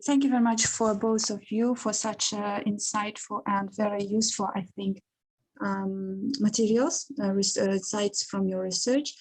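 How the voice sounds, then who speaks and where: A woman's voice: mid-range voice, steady tone, normal speed, a little mellow, rough recording. Hesitant woman asking. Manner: hesitant, restarting phrases. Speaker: audience member asking a question. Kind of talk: online Q&A question. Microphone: video call.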